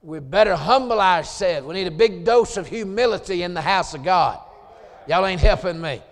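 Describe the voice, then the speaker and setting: A gravelly-voiced Southern preacher in his late forties, pitched a little higher than most men. He swings between low, chatty asides and loud, hollered lines of scripture. The sound rings through a church with a long echo. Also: lively intonation, moderate pace. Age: 40s. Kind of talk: Southern revival sermon. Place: church sanctuary.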